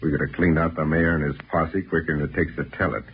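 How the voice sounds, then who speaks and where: An actor playing a tough, cool-headed American detective, terse and dry, in a 1940s radio drama. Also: male voice, a bass voice, fairly even intonation, quick pace. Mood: calm. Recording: dull, noisy old radio recording.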